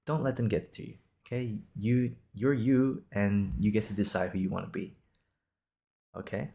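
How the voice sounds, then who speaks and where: A man's voice: lowish voice, fairly even intonation, moderate pace, creaky, mellow. A man in his twenties from Singapore hosting an improvised podcast, talking casually in a reassuring, encouraging, conversational way. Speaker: podcast host.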